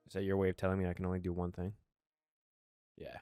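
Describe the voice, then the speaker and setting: A man's voice: low voice, monotone, fast, warm tone, clear, resonant tone, no echo, clean studio sound, faint music behind. A man doing a casual let's play, reading narration aloud and commenting on it, sometimes tripping over his words. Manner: stumbles over words while reading, self-correcting.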